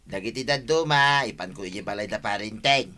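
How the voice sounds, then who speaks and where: A man with an Ilocano Filipino accent playing an excitable, wheedling village buddy in an audio comedy. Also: on the low side, a little fast. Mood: casual.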